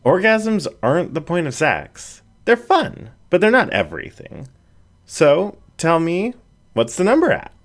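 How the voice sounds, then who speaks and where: A man's voice: on the high side, wildly swooping pitch, a little slow, pitched above the performer's own voice, heavily acted. A man voicing a confident, playful female border collie in an audiobook reading. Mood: teasing. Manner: playful, frank. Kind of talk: storybook reading.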